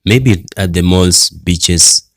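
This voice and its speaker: A young Kenyan man with a Kenyan accent, talking casually in a personal vlog, unhurried and pausing often with "uh". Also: sits low, no echo. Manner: unhurried, frequent "uh" pauses, list-like repetition. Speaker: vlogger.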